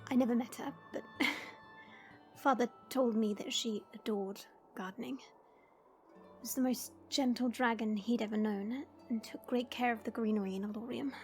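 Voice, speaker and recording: A woman playing a gentle dragon princess in an audio roleplay, speaking in a measured, formal and tender way. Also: on the high side, fairly even intonation, faint music behind, close to an everyday voice. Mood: wistful, tender. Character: gentle dragon princess, proud gardener, softly regretful.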